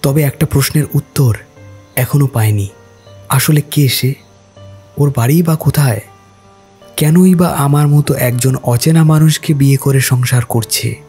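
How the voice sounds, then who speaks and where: A man telling a first-person ghost story for a cartoon, calm and reflective. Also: mid-range voice, a little slow, long pauses, slightly rough, faint music behind.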